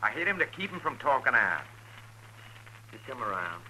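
Menacing, volatile outlaw. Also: male voice, medium pitch, sing-song, moderate pace, bright, forceful tone. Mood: gruff, curt. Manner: curt, threatening. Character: menacing, bullying outlaw killer on the run. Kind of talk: 1950s radio western acting. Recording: noisy vintage 1950s radio recording.

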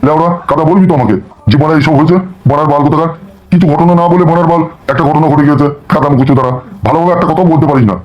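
Male performer as bullying crooked boss, slightly high voice, foul-mouthed, dull lo-fi cartoon recording. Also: steady tone, racing, lightly acted. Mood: scolding. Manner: scolding, swaggering, curse-laden. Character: bullying, boastful crooked politician and gang boss. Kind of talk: amateur comic cartoon dialogue. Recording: dull, lo-fi audio.

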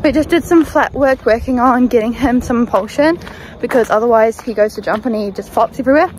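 A woman's voice: on the high side, fairly quick, darkish tone. A young woman in her teens chatting to the camera at a horse farm, upbeat and talkative, filmed on a phone held at arm's length outdoors. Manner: chatty, upbeat, talks to camera while walking. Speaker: vlogger. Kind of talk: casual vlog chat. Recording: noisy outdoor phone audio.

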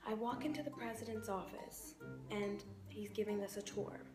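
Young female, mid pitch, sarcastic storytelling, dramatic pauses, roomy echo. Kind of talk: dramatic comic reading from a book. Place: bright bedroom indoors. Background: clear music.

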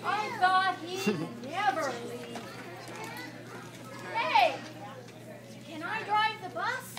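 A woman's voice: extremely high pitch, very animated, very slow, crowd noise, heavily acted. A woman voicing a stubborn, wheedling pigeon in a children's puppet show, pleading dramatically. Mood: eager, wheedling. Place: library children's room, audience of children. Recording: echoey noisy room from far off.

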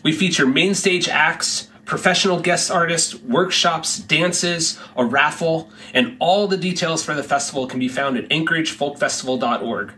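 A man in his sixties delivers a scripted promotional announcement. His voice is on the high side and quite bright, with faint noise and room ambience behind it. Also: moderate pace, few pauses. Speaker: festival board president. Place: indoor room by a large window.

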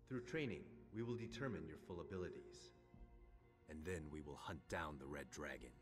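A man playing a grave, resolute middle-aged warrior father in a video game, speaking in a measured, formal way. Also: a bass voice, faint music behind. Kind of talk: dramatic video game acting.

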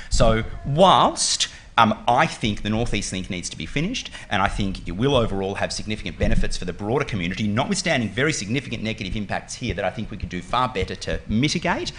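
Middle-aged man, lowish voice, confident political answer, desk mic. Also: fairly bright tone, slight background noise. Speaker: politician on a panel. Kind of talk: public forum panel answer. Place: meeting room with panel table. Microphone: desk microphone on stand.

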